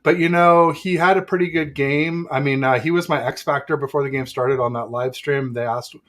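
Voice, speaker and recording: A man hosting a casual sports talk livestream, chatting in a halting way with frequent pauses. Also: on the high side, steady tone, a bit bright. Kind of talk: casual sports chat with a guest and live chat.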